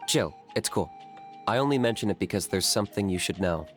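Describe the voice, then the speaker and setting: A man as a low-voiced, coolly blunt game character. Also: animated, faint music behind, lightly acted. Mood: blunt, calm. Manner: matter-of-fact, unhurried, dry. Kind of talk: video game character acting.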